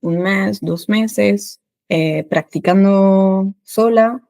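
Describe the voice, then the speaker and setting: A woman in her thirties from France, a French teacher who speaks Spanish with an Argentine accent in a casual chat, lively and laughing often. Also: medium pitch, gravelly, dry recording, studio quality. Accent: Argentine Spanish with a French background. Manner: lively, laughs often, quick bursts of speech.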